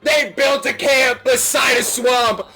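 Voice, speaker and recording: A man recites mock-heroic verse at the top of his very high-pitched voice. He stretches the lines slowly and declaims them like a slam poet in a game chat, with loud music behind him. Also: fairly even intonation. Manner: declamatory, drawn-out, shouting over game action. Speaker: video game player reciting a mock poem.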